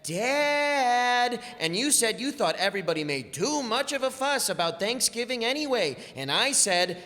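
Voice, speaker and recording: An actor playing a pleading teenage boy in an old-time radio play recorded on a reverberant stage. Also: medium pitch. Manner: pleading, talks fast when excited. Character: eager teenage boy, football player.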